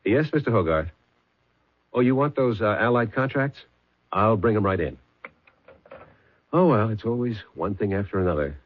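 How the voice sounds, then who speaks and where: An actor playing a shrewd, terse police sergeant in a 1970s radio mystery, matter-of-fact and methodical. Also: male voice, on the low side, lively intonation, normal speed, pauses often. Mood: feigned friendliness. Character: shrewd, hard-boiled male police sergeant. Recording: muffled lo-fi 1970s radio recording.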